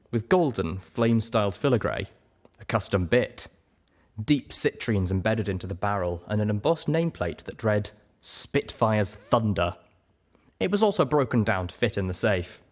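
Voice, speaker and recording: A man reading a story aloud as expressive, scripted first-person narration. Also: on the low side, moderate pace. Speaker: audiobook reader.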